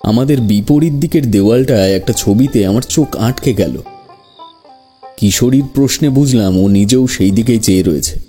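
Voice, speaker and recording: A man's voice: medium pitch, moderate pace, mellow, faint music behind. A man narrating a scripted horror story in the first person.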